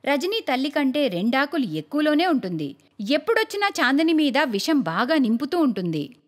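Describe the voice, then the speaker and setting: A woman's voice: sits high, sing-song, normal speed. A woman narrating a scripted moral story for a cartoon.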